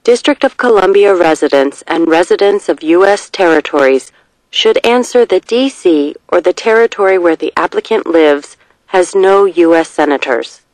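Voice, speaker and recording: A narrator reading scripted test questions and short answers in a measured, deliberate way. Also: medium pitch, lively intonation, no room sound, rough recording.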